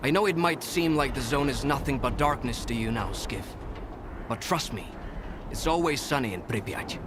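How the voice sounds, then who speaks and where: An actor playing a carefree, philosophical drifter in a video game cutscene, musing in a familiar, wistful way. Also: male voice, mid-range voice, lively intonation, normal speed, background noise, lightly acted. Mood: wistful, reassuring. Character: wistful, carefree male drifter devoted to the Zone.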